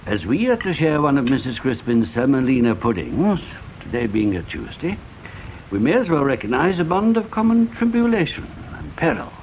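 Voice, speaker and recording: A man's voice: mid-range voice, big pitch swings, normal speed. A man playing a wry, wordy English provincial shopkeeper in an old-time radio drama, with an arch and elaborately formal manner. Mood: arch, teasing. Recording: muffled, faintly noisy old radio recording.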